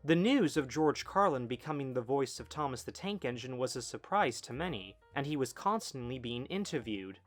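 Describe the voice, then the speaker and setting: A man's voice: mid-range voice, sing-song, moderate pace, hardly any pauses. A man reading scripted documentary narration as a video essay narrator. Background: background music.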